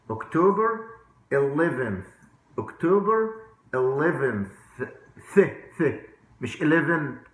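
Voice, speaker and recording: A man in his forties with an Egyptian accent giving an informal language lesson, repeating words and asking check questions in a conversational way. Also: fairly high pitch. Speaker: English teacher. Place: indoor room at a whiteboard. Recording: very echoey room.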